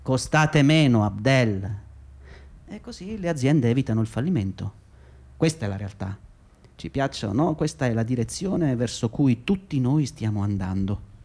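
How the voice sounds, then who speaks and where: An actor playing a condescending, self-justifying labour agency manager in a radio drama, with patronising, hesitant, repetitive speech. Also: male voice, mid-range voice, animated, moderate pace, darkish tone. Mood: smug, lecturing. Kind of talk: radio drama monologue and dialogue.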